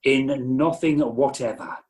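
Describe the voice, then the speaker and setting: A man's voice: mid-range voice, slow, darkish tone, natural voice. Guy acting a pompous old-school mad-doctor in a comic radio play, pedantic and emphatic. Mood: officious. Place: home, recorded over a video call.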